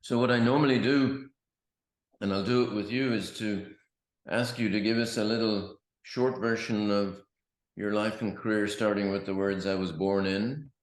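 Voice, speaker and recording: Deep-voiced guy hosting, lots of ums, echoey room sound. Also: fairly even intonation, normal speed. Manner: hesitant, many ums and uhs. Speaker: podcast host. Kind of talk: interview hosting.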